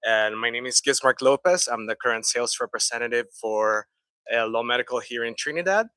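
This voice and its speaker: A man's voice: medium pitch, a little fast, pushed and bright, smooth, no room sound. A man working as a sales representative, introducing his company and team on a handheld mic, a little hesitant with frequent fillers. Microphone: handheld microphone.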